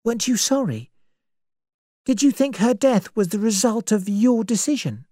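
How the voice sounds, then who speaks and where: A woman's voice: a little high, lively intonation, normal speed, dark tone, no room sound, clean studio sound, voice pushed up. A woman voicing a frightened, uneasy young woman. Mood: nervous. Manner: nervous, uneasy.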